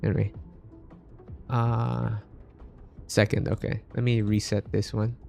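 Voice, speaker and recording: A man from the Philippines livestreaming a game with casual, halting commentary, half muttering to himself. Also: fairly low pitch, level tone, vocal fry, warm tone. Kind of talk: casual live gaming commentary. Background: game music.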